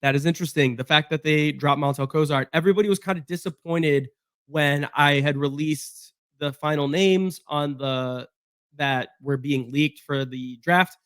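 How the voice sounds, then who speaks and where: A man with a hoarse voice from being sick, chatting casually through a solo football roster breakdown with fillers and restarts. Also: on the high side, normal speed, a bit bright, no echo, studio quality. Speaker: sports podcast host.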